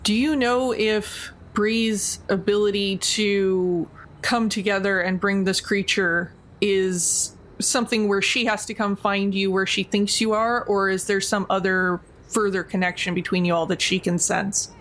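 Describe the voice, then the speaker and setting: A woman's voice: mid-range voice, fairly even intonation, unhurried, fairly bright tone. A woman, a tabletop game player talking through plans in casual roleplay.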